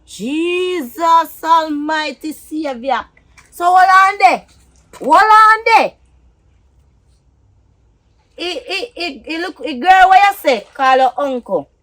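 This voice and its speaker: A woman's voice: sits high, animated, moderate pace, few pauses. A woman with a Jamaican accent playing a feisty, scornful lover in a drama, scolding and repeating her words for emphasis. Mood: shocked, indignant.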